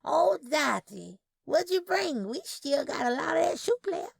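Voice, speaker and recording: A woman's voice: high-pitched, big pitch swings, a little slow, creaky, no echo, voice pushed up, full character voice. A woman voicing a chatty, fretful, tiny elderly twin sister with a Southern US accent in an audio story. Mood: delighted, folksy. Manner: chattering, folksy. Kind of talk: audio fiction character dialogue.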